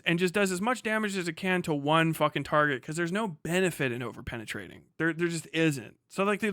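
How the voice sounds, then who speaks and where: A man talks like a livestream host mid-rant, with a bright, forceful voice pitched a little high. He rambles casually, swears freely and explains things with emphasis. The sound is dry and close. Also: no room sound.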